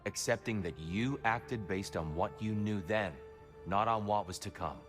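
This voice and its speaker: Wise game guide, male actor: composed, measured, gently low voice, formal questioning delivery over score. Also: lightly acted. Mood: solemn, probing. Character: calm, wise, probing guide and judge. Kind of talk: cinematic video game acting. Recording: music under the voice.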